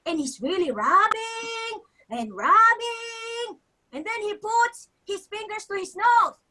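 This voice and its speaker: A woman's voice: very high-pitched, animated, slow pace, pushed and bright, full character voice. An actress playing a nagging, guilt-tripping older Filipino mother with an accent, dramatic and scolding, in a staged comedy reading. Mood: animated storytelling. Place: virtual live event, recorded remotely.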